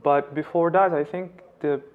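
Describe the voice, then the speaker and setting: Vlog host, male, even-toned explainer, slightly dull lo-fi audio. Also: a little high, normal speed.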